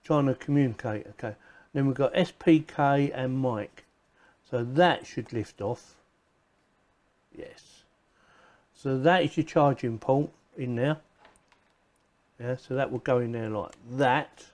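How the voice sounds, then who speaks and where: A man's voice: mid-range voice, takes it slowly, long pauses, darkish tone. A man presenting a casual hands-on gadget unboxing, chatting informally and thinking aloud as he goes. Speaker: video presenter reviewing gadgets.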